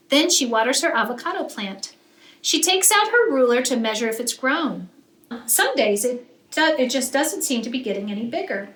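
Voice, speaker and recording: A woman with a high, bright voice narrates a children's picture book. She reads expressively, leaning on key words as a teacher would. The room is echoey, with faint noise. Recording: roomy echo, faint background noise.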